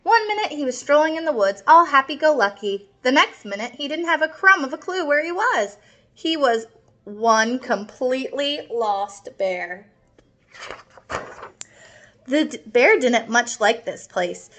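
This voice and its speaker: A woman's voice: high voice, lively intonation, measured pace, bright, forceful tone. A woman reading a picture book aloud to children expressively, with explanatory asides to her listeners. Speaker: storybook reader.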